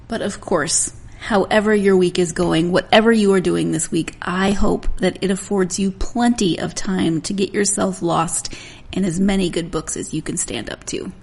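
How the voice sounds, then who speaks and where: A woman's voice: fairly low pitch, moderate pace, vocal fry, darkish tone, breathy edge. A middle-aged woman hosting a podcast, chatting casually to listeners in a rambling, self-deprecating way. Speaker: podcast host.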